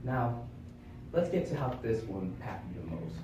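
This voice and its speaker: A young man gives a prepared speech to a small audience, working through examples and rhetorical questions in an earnest, explanatory way. His voice sits on the low side. The camera is far away, so the room echo is heavy and background noise comes through clearly. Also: very animated, normal speed. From born in Vietnam, raised in Florida. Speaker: club speaker.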